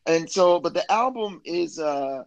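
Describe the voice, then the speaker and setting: A man's voice: a little high, expressive, measured pace, vocal fry, dry recording, highs cut off. Enthusiastic man chatting casually, close dry sound. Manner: enthusiastic, informal, often says man. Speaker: musician interview guest. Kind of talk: casual chat about career and new album.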